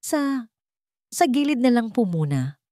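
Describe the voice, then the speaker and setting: A woman's voice: fairly high pitch, big pitch swings, measured pace, dark tone, no echo, studio quality, pitched above the performer's own voice. A woman voicing a shy, polite, hardworking mother in dramatized story dialogue, with a Filipino accent. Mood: shy, flustered.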